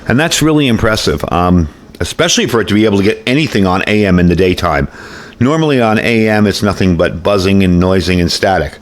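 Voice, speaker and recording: A man's voice: low-pitched, animated, fast. A man giving a casual hands-on product demonstration in a folksy, conversational way, often repeating himself. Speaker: radio product reviewer.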